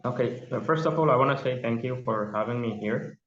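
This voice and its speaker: A man's voice: mid-range voice, brisk, a little hiss or hum. A young man with an Ethiopian accent, a judge speaking as a guest in a remote interview, often hesitating and repeating words as he searches for them. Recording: tinny phone line with echo.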